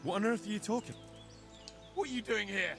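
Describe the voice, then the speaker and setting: Game NPC: defensive medieval villager man, bright, indignant, quick to scold. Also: high-pitched, gravelly, soft background music. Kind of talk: video game dialogue.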